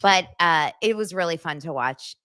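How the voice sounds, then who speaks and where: A middle-aged woman hosting a casual pop culture podcast, chatty, with quick laughing asides. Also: fairly low pitch, animated, bright, forceful tone. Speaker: podcast host.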